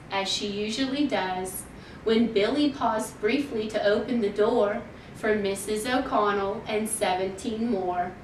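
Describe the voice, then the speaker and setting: Female storytime reader, slow and deliberate, expressive rhymed read-aloud for kids, recorded in a roomy space with faint noise. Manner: measured, deliberate storytelling for young children. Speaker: children's library storytime reader. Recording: roomy, distant mic, faint noise and rumble.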